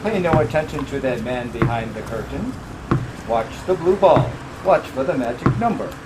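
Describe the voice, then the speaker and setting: A man's voice: mid-range voice, lively intonation, normal speed, natural voice. Man playing a swooping, sing-song holographic clown. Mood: sing-song hawking. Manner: sing-song, formulaic sales patter. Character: holographic clown street barker in Harlequin outfit. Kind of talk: radio play acting. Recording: loud background noise with clicks.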